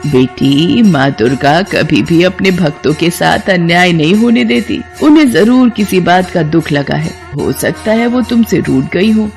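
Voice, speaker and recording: A woman voicing a gentle, devout old woman in a story, soothing and full of counsel. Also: on the low side, lively intonation, a little slow, hardly any pauses, soft background music. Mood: gentle advising. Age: older.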